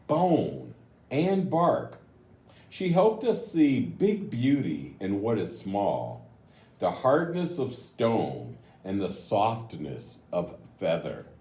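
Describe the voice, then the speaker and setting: Slow, mellow man reading aloud in echoey room. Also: medium pitch. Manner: gentle, explanatory, addressing young listeners. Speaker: mayor reading a picture book to children. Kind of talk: read-aloud storytelling with chatty asides. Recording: roomy, echoing voice recording.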